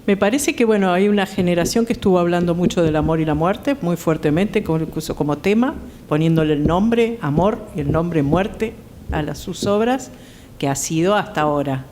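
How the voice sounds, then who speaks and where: A woman's voice: normal speed, darkish tone. A woman with an Argentine accent speaking thoughtfully as a panel guest, tentative and searching for her words. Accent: Argentine Spanish. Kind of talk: thoughtful conversational talk. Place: theatre stage. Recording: background noise with low rumble.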